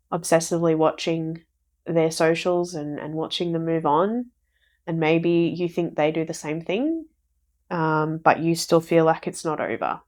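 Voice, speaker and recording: A woman giving a casual tarot reading for viewers, croaky from a recent flu, rambling and repeating herself. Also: low voice, a little mellow. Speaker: tarot reader.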